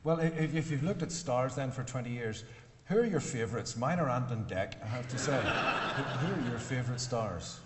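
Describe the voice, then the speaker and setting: A man's voice: mid-range voice, expressive, moderate pace. A man hosting a comedy interview on stage, teasing his guest and playing dumb. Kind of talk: joking onstage banter. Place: hall with live audience. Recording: echoey hall with noise.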